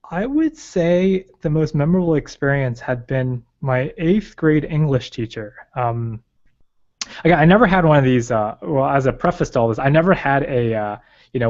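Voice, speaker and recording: A man's voice: mid-range voice, darkish tone. A man who grew up in China and whose first language is Chinese. He is an interview guest and research advisor who talks casually and thoughtfully, with many fillers. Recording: slightly dull lo-fi recording.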